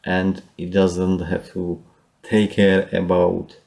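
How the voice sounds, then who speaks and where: A man with a Czech accent talks through his own solar data, pausing and restarting with plenty of fillers. His voice sits low and sounds soft and mellow. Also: monotone, creaky. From Czech Republic. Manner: halting, with frequent fillers and restarts. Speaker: solar power hobbyist. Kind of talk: casual screen walkthrough.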